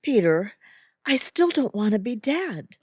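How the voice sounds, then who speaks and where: Woman acting a slow, hesitant, sweet woman. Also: fairly high pitch, very animated, a little mellow, slightly rough, dry recording, studio quality, exaggerated voice. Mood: overwhelmed, wistful. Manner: tentative, questioning, earnest. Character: hesitant, sweet, newly dead married woman. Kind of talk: audio theatre comedy acting.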